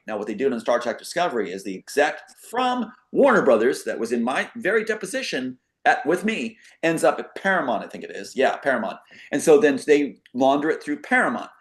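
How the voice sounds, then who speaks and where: A man's voice: mid-range voice, big pitch swings, fairly quick. Male guest, mid-range voice, casual rambling explainer, emphatic delivery. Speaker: talk show guest. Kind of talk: casual conspiracy monologue.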